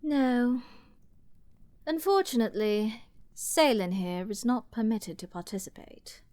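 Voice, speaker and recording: Woman acting a slow-talking arrogant scheming princess. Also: a little high, a little mellow, close to an everyday voice. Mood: imperious, scheming. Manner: haughty, theatrical, slow and teasing. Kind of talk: dramatic fantasy audio roleplay with ASMR whispering.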